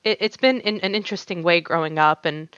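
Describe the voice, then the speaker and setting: Smooth-voiced woman telling stories. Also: on the low side, fairly quick, fairly bright tone, dry recording, dull audio. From United States. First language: Arabic. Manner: storytelling with many fillers and restarts, laughing often. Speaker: guest, tatreez artist. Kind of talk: casual podcast interview.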